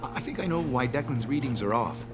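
An actor playing a wry, analytical lab researcher in a video game. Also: male voice, medium pitch, expressive, fast talker, close to an everyday voice. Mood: wry, thoughtful. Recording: game audio with music behind.

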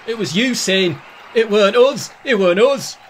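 A man's voice: sits high, animated, moderate pace, a little hiss or hum. A man playing a rowdy, belligerent young football supporter in a radio play, blunt and heckling. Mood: bickering.

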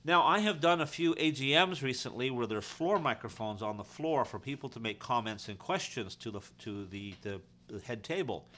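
A man's voice: mid-range voice, lively intonation, normal speed, hardly any pauses, sharp tone. A man giving an informal, practical how-to explanation in a conversational way, as a technician teaching his trade. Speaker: audio-visual technician and instructor.

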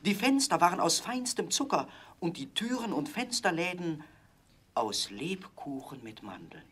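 A male narrator tells a fairy tale for children. His voice is husky and his delivery expressive, with lots of rise and fall. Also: sits high, a little slow. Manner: expressive storytelling.

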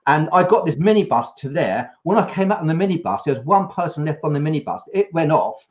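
Older man telling a lively story. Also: on the high side, fast. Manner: lively anecdotal storytelling with laughs. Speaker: host. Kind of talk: casual live chat, storytelling. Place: home room, video call.

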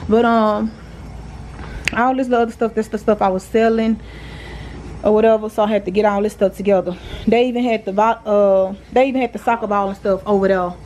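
A woman's voice: mid-range voice, steady tone, a little fast, a little mellow, a little hiss or hum. A young woman with a Southern US accent, a single mother chatting loosely through a home cleaning vlog and scolding her kids. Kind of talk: casual home vlog chat. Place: apartment living room and kitchen, indoors. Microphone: handheld phone camera.

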